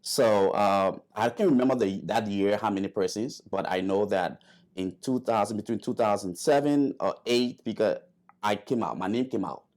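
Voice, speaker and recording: A man from Liberia telling his story in an interview, halting with many fillers and restarts. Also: lowish voice, darkish tone. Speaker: interview guest, airman.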